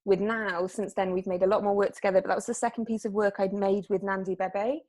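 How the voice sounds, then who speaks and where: A woman's voice: mid-range voice, little pitch movement, fast talker, darkish tone. Hesitant woman artist. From South Wales. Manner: hesitant, lots of fillers and restarts. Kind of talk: casual interview chat.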